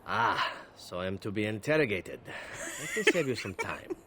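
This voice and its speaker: An actor playing a roguish captured assassin in a video game, wry, flippant and courteous. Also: male voice, fairly low pitch, big pitch swings, normal speed, noisy background. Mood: wry, glib. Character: roguish, glib captured male elf assassin. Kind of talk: video game character dialogue.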